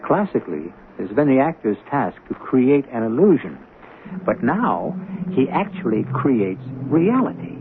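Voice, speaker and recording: A man with a gravelly voice hosting and narrating a 1970s radio mystery in a measured, dramatic, wry way. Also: medium pitch, sing-song, noisy background. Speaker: host and narrator. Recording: narrow-band old radio broadcast.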